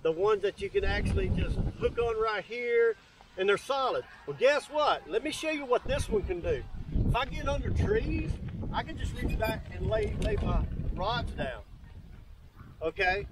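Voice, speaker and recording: A man's voice: high voice, animated, moderate pace, noisy background. A middle-aged man giving an enthusiastic, folksy, casual walk-through as a video host, speaking outdoors. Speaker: YouTube host demonstrating DIY kayak builds. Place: outdoors on open grass by water.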